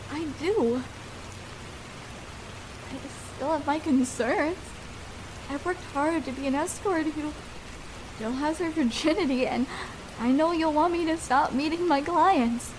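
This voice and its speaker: Woman acting shy young escort, very high voice. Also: expressive, unhurried, pauses often, background noise. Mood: tender, vulnerable. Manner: hesitant, stammering, tender. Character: shy, vulnerable young female escort falling for a client. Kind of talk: intimate ASMR roleplay.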